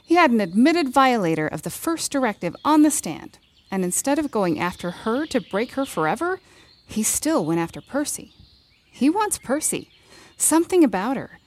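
An actress playing a brash, protective lawyer in a fiction podcast drama, blunt and commanding with slangy confidence. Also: female voice, on the high side, very animated, moderate pace. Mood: fierce, firm.

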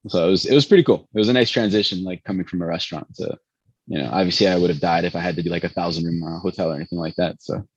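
A man's voice: low voice, fairly even intonation, rapid-fire, breathy edge, dry recording, lo-fi sound. A man who spoke Spanish first, a pastry chef telling long rambling stories full of restarts in an interview. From born in Chicago, raised in Venezuela. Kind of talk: casual interview storytelling.

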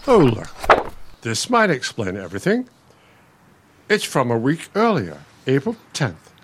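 An actor with an American accent playing a grumpy, needling older husband in a radio play, with a dry, sardonic manner. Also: male voice, mid-range voice, huge pitch swings, a little slow, a bit bright, breathy edge, full character voice. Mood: wry.

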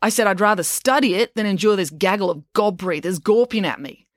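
A woman's voice: mid-range voice, fairly quick, dry recording, clean studio sound, voice pushed up, close to an everyday voice. A woman voicing an abrasive, hot-tempered schoolgirl in a children's story, speaking in a snappish, combative way. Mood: scornful.

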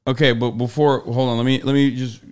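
A young man who grew up in Turkey, hosting a casual podcast chat in an animated way and laughing easily. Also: mid-range voice, fairly quick, clean tone. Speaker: podcast host.

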